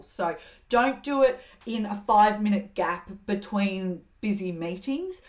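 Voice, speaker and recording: Woman giving advice, earnest and steady, mid pitch, walks through example lines. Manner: earnest, steady coaching with acted example phrases. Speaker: host giving communication advice. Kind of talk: advice talk to camera.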